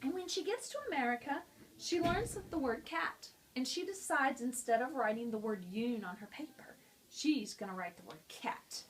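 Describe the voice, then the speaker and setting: Woman, teacher, slightly high voice, friendly, explaining a book lesson. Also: expressive, normal speed, low-quality recording. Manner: friendly, explanatory, talking to students.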